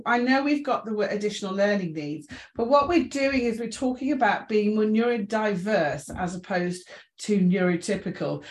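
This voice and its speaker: Chatty middle-aged English woman presenting. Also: mid-range voice. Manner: chatty, self-deprecating, reassuring. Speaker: invited speaker, inclusion trainer. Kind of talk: informal presentation to an audience.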